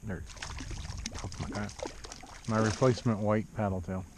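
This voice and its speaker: A man's voice: fairly low pitch, steady tone, normal speed. A middle-aged man giving casual running commentary while kayak fishing, talking in short, plain-spoken phrases. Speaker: kayak angler and video host. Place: outdoors in a kayak on a lake. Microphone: action camera mounted on body or kayak. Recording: dull muffled action-camera audio, loud outdoor noise.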